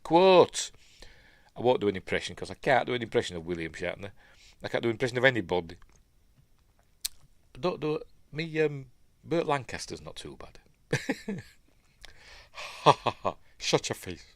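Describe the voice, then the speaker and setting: A man's voice: mid-range voice, big pitch swings, normal speed, pauses often, dry recording. A man with a Yorkshire accent, sniffly from a stuffy nose, hosting a live news stream in a rambling, chatty way full of mumbled asides. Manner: chatty, self-interrupting asides and mumbled afterthoughts.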